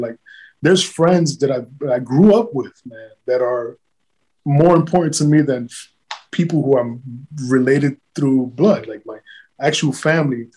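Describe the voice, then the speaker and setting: A man's voice: mid-range voice, darkish tone, slightly rough, low-quality recording. A man, a guest artist talking bluntly and swearing freely in a casual podcast chat. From South Bronx, New York.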